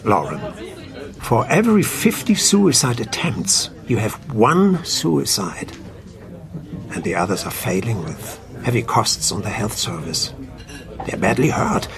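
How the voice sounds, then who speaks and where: Calm formal clinic doctor, radio play, voice moves up and down a lot, people chattering behind him. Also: male voice, medium pitch, vocal fry, a crowd in the background. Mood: earnest. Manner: measured, formal, explanatory. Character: calm, principled male doctor at an assisted-dying clinic.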